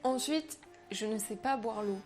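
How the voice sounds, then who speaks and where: A young woman, a French native speaker, chatting to camera in a slangy, animated way, talking about herself as a vlogger. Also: fairly high pitch, a little slow, faint music behind. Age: young adult. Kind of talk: casual chat to camera.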